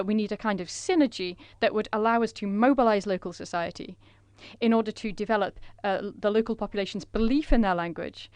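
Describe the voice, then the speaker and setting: A woman renders a conference presentation in a measured way, pausing often on fillers. Her voice is smooth and clear, recorded close and dry. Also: medium pitch, no echo. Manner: measured, with frequent filler pauses.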